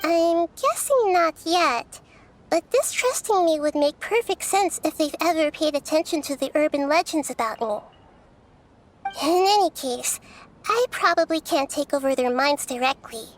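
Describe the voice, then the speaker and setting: A woman voicing a gentle, wise, youthful goddess in an anime video game, speaking in a soft, girlish, measured and thoughtful way. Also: high-pitched, animated, slight background noise. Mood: earnest, cautious. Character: wise, gentle young-looking female god of wisdom, earnest and thoughtful. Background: background music.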